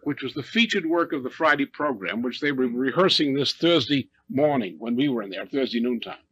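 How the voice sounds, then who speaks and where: A husky-voiced man speaks in a muffled, lo-fi recording with very little room sound. He talks deliberately and emphatically, building his account in short, repeated phrases as if speaking without a script. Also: on the high side, fairly bright tone, dry recording. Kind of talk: unscripted speech recounting a musical performance.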